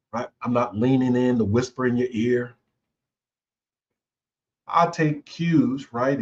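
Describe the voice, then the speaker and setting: A male host talks through workplace conflict in a relaxed, conversational way, tagging his points with "right?". He speaks slowly, in a mid-range voice. The recording sounds a little dull and muffled. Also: mid-range voice. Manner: conversational, repeats "right?" often. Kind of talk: casual talk on leadership.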